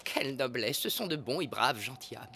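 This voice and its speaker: An actor voicing a fussy, excitable groundhog gentleman in a cartoon, with theatrical, courtly manners. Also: medium pitch, brisk, creaky, noisy background. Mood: effusive. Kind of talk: animated cartoon dubbing.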